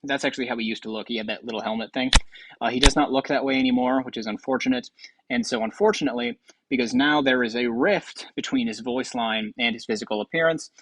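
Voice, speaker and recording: A man's voice: mid-range voice, fast, a bit bright. A man hosting casual gaming commentary, rambling and thinking aloud with some hesitation. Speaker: video host.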